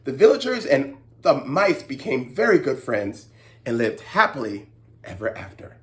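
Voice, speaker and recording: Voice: mid-range voice, big pitch swings, normal speed, fairly bright tone. A children's storytime host who narrates expressively and talks instructively to young listeners, often checking in with them.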